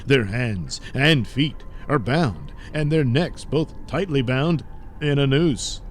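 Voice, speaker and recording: Mid-pitched man narrating a serial. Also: lively intonation, slow, slight background noise. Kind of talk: dramatic scripted serial narration.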